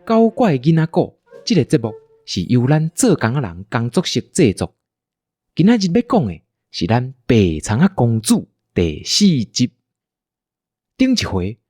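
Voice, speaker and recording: A woman's voice: rumbling low, big pitch swings, unhurried, mellow, slightly rough, no echo, studio quality. A woman with a Taiwanese accent hosting and narrating a playful scripted story. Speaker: podcast host and storyteller.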